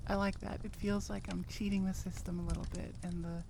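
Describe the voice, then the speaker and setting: A woman's voice: mid-range voice, little pitch movement, fairly quick, vocal fry, noisy background. A woman audio creator talking casually and playfully to her listeners in an informal outro.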